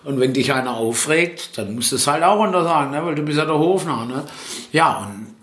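A man's voice: fairly high pitch, animated, fairly quick, a bit bright. A middle-aged man whose first language is Italian, working as a festival-tent jester and stage host, answering interview questions in a rambling way, full of fillers, self-corrections and a tag "ne?". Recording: roomy echo with faint background noise.

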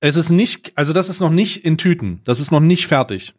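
Male podcast co-host, slightly high voice, casual and emphatic discussion style. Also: expressive, a little fast.

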